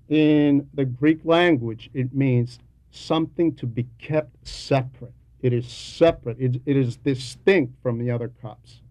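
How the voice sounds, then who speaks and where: Slow-paced man preaching a homily. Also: medium pitch, darkish tone, no room sound. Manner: deliberate, emphatic, repeats key phrases for weight. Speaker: homilist reading a translated homily. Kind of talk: sermon, teaching homily.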